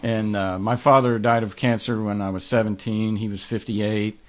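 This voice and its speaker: A middle-aged man hosting a podcast, talking in an earnest, reflective way that turns halting when he speaks of personal matters. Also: on the low side, level tone. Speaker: podcast host. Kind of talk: podcast intro and interview.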